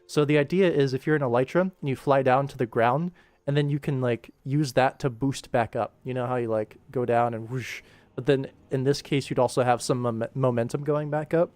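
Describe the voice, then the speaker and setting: A man's voice: mid-range voice, steady tone, a little fast, darkish tone, no room sound. A young man talking casually as a livestream host, rambling playfully and thinking aloud as he works. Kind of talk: casual livestream chat while working.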